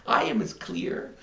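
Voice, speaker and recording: A man playing a smug, contented kept husband in a theatrical, gleeful Elizabethan stage comedy monologue, with drawn-out phrasing. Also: sharp tone. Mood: relieved, gloating. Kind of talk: classical stage monologue acting. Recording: echoey room.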